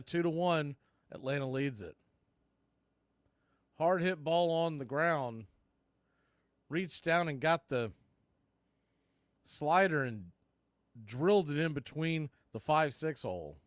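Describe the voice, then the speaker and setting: A man calling live baseball play-by-play in punchy short phrases, getting excited on big plays. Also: fairly high pitch, slow pace, long pauses, no room sound, clean studio sound.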